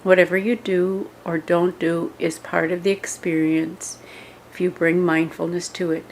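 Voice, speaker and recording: Woman, meditation instructor, slightly low pitch, very slow measured delivery, short phrases with pauses, faint background noise. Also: little pitch movement, poor audio. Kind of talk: scripted guided meditation instruction.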